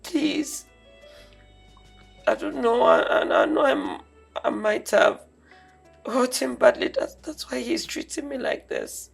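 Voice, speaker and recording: A woman's voice: very high voice, animated, moderate pace, long pauses, faint music behind. An actress playing a young princess who pleads tearfully with her parents. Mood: begging. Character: young princess, beaten by her twin brother.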